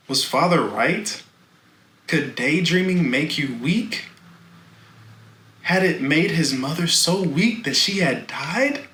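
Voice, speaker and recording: A man narrating a children's novel in a deliberate, dramatic, expressive reading style. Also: a little high. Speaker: storybook reader. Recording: echoey room, faint background noise.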